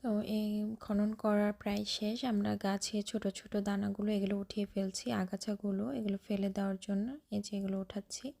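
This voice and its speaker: A woman's voice: mid-range voice, completely flat delivery, fast, vocal fry, dark tone. A woman narrating a casual vlog, describing each action as it happens and often repeating herself. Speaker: vlogger.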